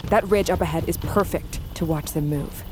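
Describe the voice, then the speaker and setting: An actress playing a fierce, reckless young warrior huntress in a fantasy audio drama, impatient and defiant. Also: female voice, deep voice, moderate pace, background noise, natural voice. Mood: determined. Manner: impatient, brash, forceful.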